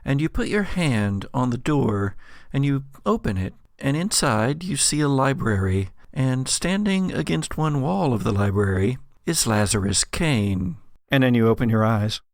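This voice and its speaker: A man working as game master, narrating a tabletop horror game with suspenseful pauses and vivid description. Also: medium pitch, animated, no echo, clean studio sound. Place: home studio.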